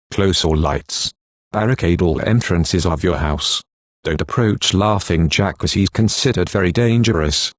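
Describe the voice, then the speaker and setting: Man acting emergency bulletin announcer, deep voice, formal, stiff, measured, very dry studio-like sound. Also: no echo. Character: male news correspondent and emergency alert announcer, formal. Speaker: correspondent and alert announcer. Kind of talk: scripted emergency broadcast.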